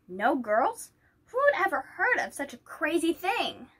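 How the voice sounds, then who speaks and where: Woman reading as a spirited schoolgirl, high and lively. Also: measured pace, exaggerated voice. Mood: indignant. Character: spirited, indignant, determined young schoolgirl. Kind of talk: children's picture book read aloud.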